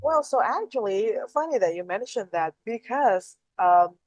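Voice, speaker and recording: A woman's voice: fairly high pitch, animated, unhurried, highs cut off. A woman, chatting casually as a podcast guest and explaining food customs. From Indonesia.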